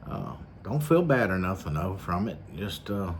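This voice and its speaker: A man's voice: low-pitched, very animated, moderate pace. A man with a slightly scratchy throat, rambling folksily in a casual vlog with lots of fillers. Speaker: vlogger. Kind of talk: casual rambling monologue to camera.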